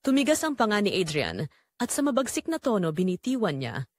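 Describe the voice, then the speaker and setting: Female audiobook narrator for romance drama. Lively, varied pitch, measured emotive delivery, very dry recording. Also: no echo. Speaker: audiobook narrator reading a first-person romance story.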